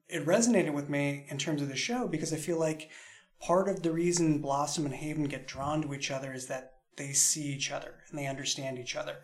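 A man speaking as an audio drama creator in a roundtable interview, explaining things in a reflective, discursive way. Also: a little high.

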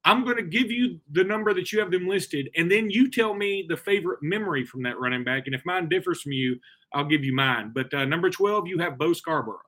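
A man's voice: a little high, animated, fairly quick, a bit bright. A man hosting a casual sports talk show, chatty and opinionated as he leads the conversation.

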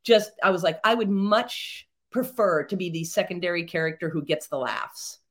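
Chatty middle-aged woman, animated. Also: on the low side, normal speed. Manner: chatty and animated, rambling with frequent fillers and laughs. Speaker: theatre founder and performer, podcast guest. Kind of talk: casual interview chat.

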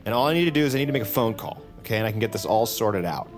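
An actor playing a tense detained assassin, halting and pleading with strained politeness, in an audio drama. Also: male voice, on the low side, expressive, faint music behind, close to an everyday voice. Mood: strained, insistent. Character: tense, desperate detained male assassin insisting he is sane.

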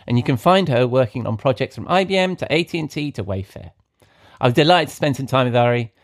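A man's voice: mid-range voice, lively intonation, fast talker, background noise. A middle-aged man hosting a podcast in a chatty, conversational style. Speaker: podcast host.

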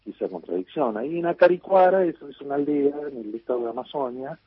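A man's voice: mid-range voice, very animated, fast talker, slightly rough, no echo. A man with an Argentine accent, a writer answering questions on the radio, rambling with many fillers and restarts. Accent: Argentine Spanish. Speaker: guest writer. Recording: tinny phone-like line.

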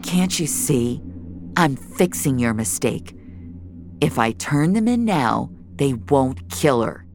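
A woman voicing a gruff, regretful older male mentor in an audio drama. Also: rumbling low, expressive, slow pace, a little mellow, faint music behind, heavily acted. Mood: resentful, stern. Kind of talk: audio fiction acting.

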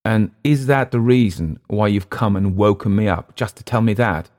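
A man's voice: on the low side, moderate pace, a little mellow, pitched above the performer's own voice, close to an everyday voice. A man voicing a curt, impatient, world-weary older man in a literary story. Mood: dismissive. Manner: brusque, irritable.

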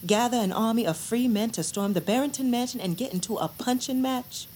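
Actress as a sharp-tongued, sensible wife. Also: female voice, on the high side, a little fast, slight background noise, natural voice. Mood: exasperated. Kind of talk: audio drama dialogue.

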